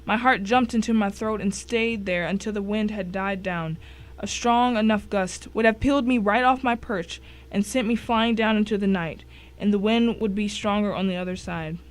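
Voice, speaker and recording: A woman's voice: medium pitch, moderate pace, lightly acted. A woman playing a tense first-person narrator in a dramatic suspense story. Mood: anxious, vivid.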